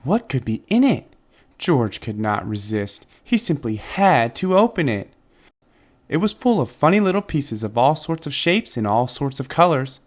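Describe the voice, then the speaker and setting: Expressive male storybook reader, very lively pitch. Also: moderate pace. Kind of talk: expressive children's story narration.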